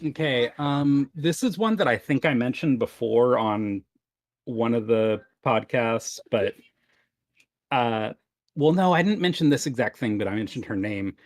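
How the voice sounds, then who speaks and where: A man talks casually as a podcast panelist. His voice sits at an average pitch, and the recording is dry and close. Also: measured pace, no room sound. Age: adult.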